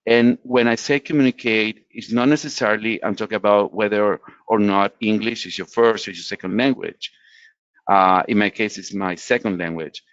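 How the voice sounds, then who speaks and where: A man's voice: on the low side, no room sound. Man from latin america, english speaker, earnest advice, dry muffled webinar sound. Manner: earnest, explanatory, frequent restarts. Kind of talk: career advice talk.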